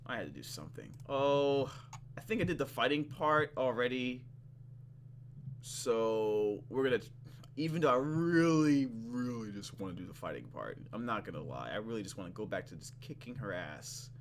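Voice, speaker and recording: A man hosting a gaming video, reading story text aloud with casual, playful commentary and expressive reactions. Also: mid-range voice, normal speed, a little hiss or hum. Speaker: gaming channel host.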